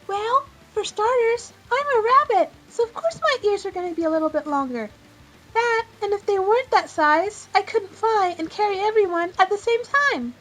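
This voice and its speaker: A woman's voice: extremely high pitch, normal speed, a bit bright, pitched above the performer's own voice, low-quality recording. A woman voicing a sweet, polite young girl rabbit in a fan-made cartoon question show. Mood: sweet, matter-of-fact.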